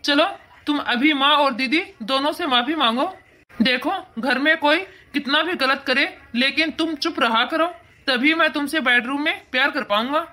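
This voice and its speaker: Woman playing a high, bright, domineering young husband. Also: normal speed. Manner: bossy, condescending. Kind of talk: cartoon moral-story acting.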